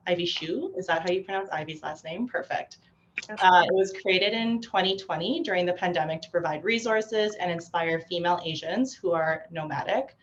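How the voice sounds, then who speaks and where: A woman hosting an online panel discussion over a video call, friendly and quick to laugh. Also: on the low side, a bit bright, dull audio, a little hiss or hum. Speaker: panel host.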